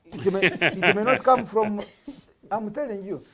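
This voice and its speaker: A man's voice: high-pitched, measured pace, fairly bright tone. A man, a panel commentator speaking bluntly and laughing as he argues. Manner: blunt, laughs while talking. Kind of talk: talk show debate.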